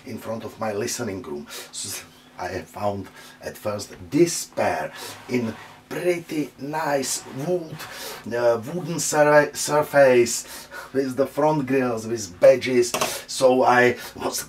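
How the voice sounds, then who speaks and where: A man with a Czech accent speaks slowly in English, pausing often and filling the gaps with "uh" while he searches for the words. His voice sits at a middle pitch, and a faint hiss runs under the recording. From Czech Republic. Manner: halting, searching for English words, many "uh" fillers. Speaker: channel host. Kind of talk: casual hands-on speaker demo. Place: room with speakers on a table.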